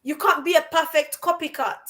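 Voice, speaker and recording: A woman's voice: high voice, fairly even intonation, a little fast, pushed and bright. A woman hosting a casual podcast chat, speaking emphatically and repeating phrases for stress. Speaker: podcast host. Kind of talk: casual chat about confidence.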